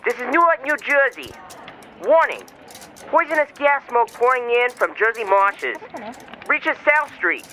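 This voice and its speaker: A man's voice: extremely high pitch, big pitch swings, unhurried, bright, forceful tone, a little hiss or hum, exaggerated voice, rough recording. A man playing an urgent radio announcer in an audio drama, giving clipped emergency warnings. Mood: urgent alarm.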